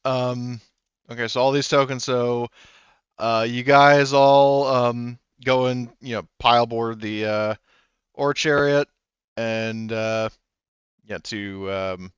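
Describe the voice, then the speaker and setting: A man running a tabletop roleplaying game as game master, talking in a halting way with many pauses and restarts. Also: medium pitch, fairly even intonation, clean tone, no echo, lo-fi sound. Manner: halting, lots of ums and restarts.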